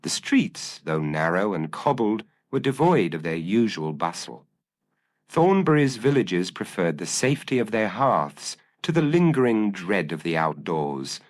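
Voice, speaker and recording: A man's voice: deep voice, big pitch swings, unhurried. A man reading scripted narration of a gothic mystery story.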